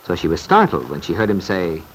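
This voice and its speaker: A man's voice: low-pitched, huge pitch swings, fairly quick. A man narrating a radio serial in an old-time storytelling style. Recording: noisy vintage radio recording.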